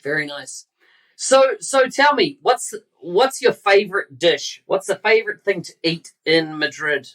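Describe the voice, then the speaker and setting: A man's voice: high voice, lively intonation, a little slow, fairly bright tone. A man hosting a podcast, introducing a guest and chatting in a friendly, conversational way. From New Zealand. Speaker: podcast host.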